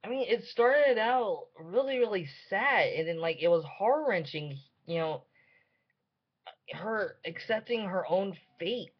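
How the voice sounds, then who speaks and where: A young man giving casual, off-the-cuff commentary, halting and repeating himself as he thinks aloud. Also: high voice, animated. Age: young adult. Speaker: reaction video host. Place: small dim room indoors. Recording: slightly dull webcam audio.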